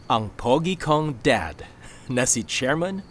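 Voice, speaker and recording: A man playing a relaxed, affectionate young boyfriend in a radio drama, reassuring and playfully teasing. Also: medium pitch, slow pace, noisy background. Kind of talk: radio drama acting, English mixed in.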